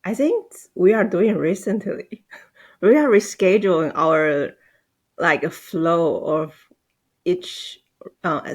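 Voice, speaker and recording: A woman, a Mandarin speaker, chatting on a podcast in halting, hesitant English. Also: on the low side. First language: Mandarin Chinese. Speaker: podcast guest, team manager. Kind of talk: casual podcast conversation.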